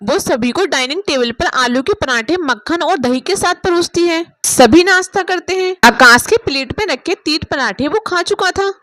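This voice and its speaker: High-pitched woman storyteller. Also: animated, moderate pace, hardly any pauses, fairly bright tone, no room sound. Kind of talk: scripted narration of a Hindi moral story.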